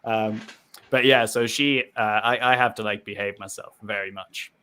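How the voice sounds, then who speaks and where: A man's voice: fairly low pitch, steady tone, normal speed, sharp tone, clean tone. A British man bantering in a casual live-stream chat over a video call, laughing often. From Britain. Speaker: co-host.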